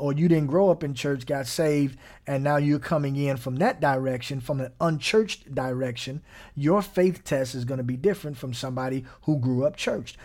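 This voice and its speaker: A man's voice: a little high, a little mellow. A man preaching a conversational sermon, often checking in with his listeners by asking "right?", now and then chuckling and dropping almost to a whisper. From Gary, Indiana.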